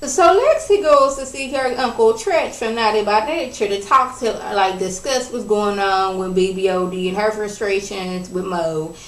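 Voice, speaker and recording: A woman casually recapping a reality TV episode in a chatty, animated way, acting out what people said. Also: mid-range voice, moderate pace, few pauses, slight background noise. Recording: roomy, distant from the mic.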